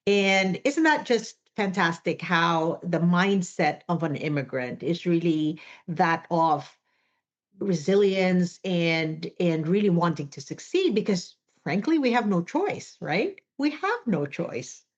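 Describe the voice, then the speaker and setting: A woman's voice: fairly low pitch, animated, slow pace, lo-fi sound. A woman hosting an interview over a video call, enthusiastic and affirming toward her guest. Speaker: podcast host.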